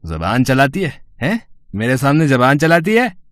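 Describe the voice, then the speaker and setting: A man's voice: medium pitch, very animated, normal speed, a bit bright. A man playing a drunk, abusive village husband and father in a melodramatic audio story, suspicious and menacing, with slurred, bullying rambling.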